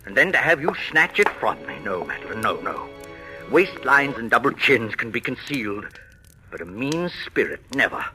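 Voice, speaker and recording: A man's voice: mid-range voice, sing-song, normal speed, music in the background, lightly acted. A man playing a proud, bitter middle-aged actor husband in an old-time radio thriller, speaking in a florid, declamatory way. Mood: bitter, resolute. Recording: vintage radio recording.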